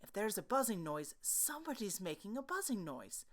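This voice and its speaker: A woman's voice: mid-range voice, very animated, normal speed. A woman voicing an earnest, simple-minded, honey-loving male bear in a children's storybook, pondering aloud in an emphatic, sing-song way. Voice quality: growly.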